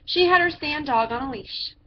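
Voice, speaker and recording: Female storyteller, high-pitched, theatrical read-aloud for kids; muffled, noisy home-grade recording. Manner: theatrical, drawn-out phrases with emphatic character voices. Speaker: storyteller reading to children. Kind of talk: animated picture-book read-aloud. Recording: dull lo-fi sound with background noise.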